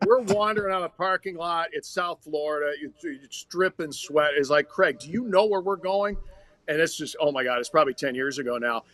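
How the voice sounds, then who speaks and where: A man's voice: a little high, brisk, a bit bright. An American man, a radio hockey announcer, talking and telling stories conversationally as a podcast guest. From Minnesota, United States.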